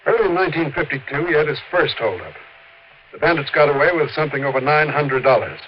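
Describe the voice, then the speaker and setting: A man's voice: a little high, fast talker, close to an everyday voice. Actor as earnest insurance man, old radio sound. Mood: earnest, explaining. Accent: American. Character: worried, businesslike male insurance company manager. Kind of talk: 1950s American radio drama acting. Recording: dull, slightly noisy 1950s radio recording.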